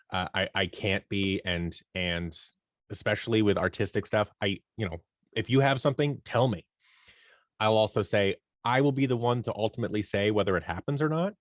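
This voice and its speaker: Low-voiced man in a dry interview recording. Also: no echo. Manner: animated storytelling, acts out imagined dialogue. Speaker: guest, creative company owner and director. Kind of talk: conversational podcast interview answers.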